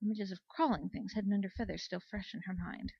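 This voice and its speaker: A woman reading a scripted story aloud in an expressive storybook manner. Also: mid-range voice, fast, vocal fry, slightly rough, dry recording, highs cut off. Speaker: story reader. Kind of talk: scripted narration of fan fiction.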